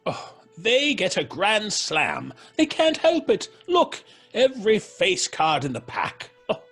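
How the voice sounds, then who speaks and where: A man playing a genial, stammering English gentleman card player in an old-fashioned radio drama, with an English accent. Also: sits high, huge pitch swings, takes it slowly, slightly rough, soft background music, heavily acted. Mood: flustered, grumbling. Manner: stammering, chuckling, old-fashioned upper-class politeness. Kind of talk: 1930s-style radio drama acting.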